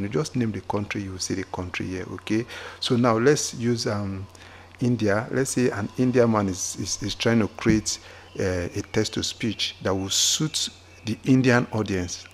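A man walking viewers through a software tutorial, often repeating himself and checking in with "okay?". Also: on the low side, normal speed, a little hiss or hum. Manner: repetitive, with frequent "okay?" checks. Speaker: tutorial host. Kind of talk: screen-recorded software tutorial. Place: indoors at a computer, voice-over on screen capture.

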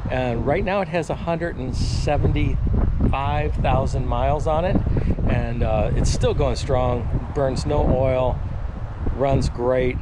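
An older man explaining his van in a plain, practical way with many pauses, filmed outdoors at arm's length. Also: medium pitch. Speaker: vlog co-host. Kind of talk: casual vehicle tour. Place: outdoors on a lawn beside a van. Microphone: camera at arm's length. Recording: outdoor noise behind the voice.

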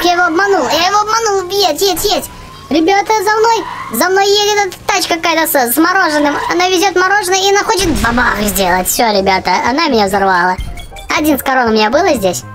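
A youthful-sounding gaming host giving excited, chatty gameplay commentary, often exclaiming and repeating phrases. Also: very high-pitched, brisk, music in the background. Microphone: voice-over on game footage.

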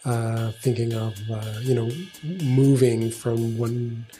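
A man's voice: mid-range voice, little pitch movement, slow pace, mellow, faint music behind. A man from the Netherlands, co-hosting a podcast and speaking hesitantly with many ums. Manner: hesitant, lots of ums and uhs. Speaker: podcast co-host. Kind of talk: casual chat.

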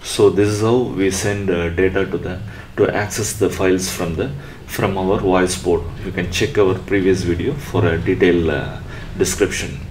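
Deep-voiced guy explaining code step by step, kinda halting, echoey room w some faint noise. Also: fairly even intonation, darkish tone. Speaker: electronics tutorial presenter. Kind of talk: unscripted tutorial narration of on-screen coding. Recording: roomy echo with faint background noise.

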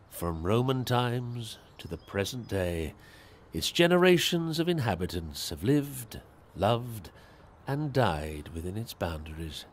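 An actor playing a worldly, measured male sleuth, narrating an audio drama in a slow, deliberate storytelling manner. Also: on the low side, slight background noise.

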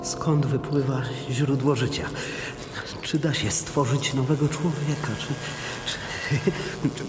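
A man's voice: mid-range voice, steady tone, slow pace, pauses often, lightly acted. An actor playing an obsessed young male science student in a radio drama. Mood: feverish, obsessed. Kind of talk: radio drama acting, reading letters. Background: music.

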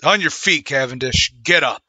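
A man's voice: mid-range voice, moderate pace, pushed and bright, husky, dry recording, voice pushed up. A man playing a heroic, resolute masked cowboy in an old-time radio western, earnest and dramatic. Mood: stern.

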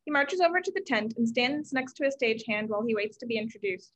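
Female narrator for a play reading, fairly high voice, reads stage directions over a video call. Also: lively intonation, fast, fairly bright tone, poor audio. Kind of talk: scripted narration. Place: room at home, video call.

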